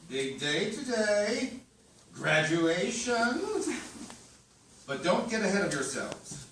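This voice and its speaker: An actor playing an exacting chef instructor at a screenplay reading, deliberate and theatrical with dramatic pauses. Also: mid-range voice, big pitch swings, a bit bright, full character voice. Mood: instructing, firm. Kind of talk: screenplay table read, acted dialogue. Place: live staged reading in a room. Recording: roomy echo, distant mic, faint noise.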